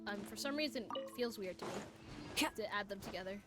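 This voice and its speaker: A woman's voice: medium pitch, a little fast, music in the background. A woman giving casual, playful, exclamatory commentary as she streams a video game live.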